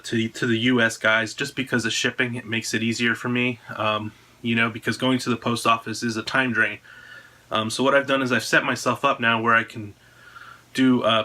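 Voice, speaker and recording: A man's voice: mid-range voice, bright, forceful tone. An American man in his thirties talking casually to camera, presenting a product announcement in an indoor room at home. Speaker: drone frame designer presenting own video.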